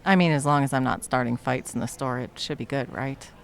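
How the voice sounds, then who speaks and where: A woman's voice: extremely low pitch, a little fast, vocal fry. A woman playing a smug, nonchalant villain who works as a barista, dry and teasing in a comedic ASMR roleplay. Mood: casual, deadpan. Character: smug, deadpan young villain working as barista.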